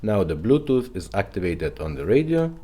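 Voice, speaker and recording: A man's voice: fairly low pitch, sing-song, normal speed, warm tone, breathy edge. A man giving a casual, step-by-step product demonstration in an instructional way. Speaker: presenter demonstrating retrofitted car radios.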